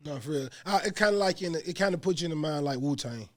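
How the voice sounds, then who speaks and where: A man's voice: a little high, fast talker. A man hosting a casual podcast interview, talking animatedly in profane street slang and asking after his guest's story. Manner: animated, profane street slang, frequent "you know what I'm saying". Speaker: podcast host.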